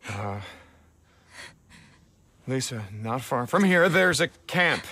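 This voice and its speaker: An actor playing a rugged, plainspoken drifter in a video game, speaking in a halting, folksy way. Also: male voice, medium pitch, lively intonation, sharp tone, full character voice. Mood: earnest, awkward. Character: gruff rugged male drifter, protective and plainspoken. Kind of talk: naturalistic video game acting.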